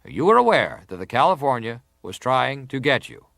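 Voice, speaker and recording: An actor playing an American senator who questions a witness formally, measured and insistent, in a dramatized hearing. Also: male voice, mid-range voice, very animated, creaky, a bit bright, dry recording, clean studio sound.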